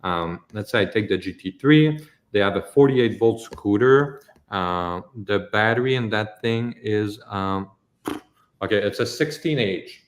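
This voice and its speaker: A man's voice: fairly low pitch, unhurried, a little hiss or hum. A man with a French accent and French as his native language, answering questions in a casual, informal way and explaining things step by step. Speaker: company host answering viewer questions. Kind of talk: casual live Q&A, technical explanation. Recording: echoey room.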